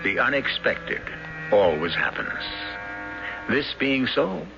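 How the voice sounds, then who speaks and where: A man hosting a radio drama with theatrical, measured narration and teasing rhetorical suspense. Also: medium pitch, big pitch swings, creaky. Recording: muffled radio broadcast under loud music. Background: loud music.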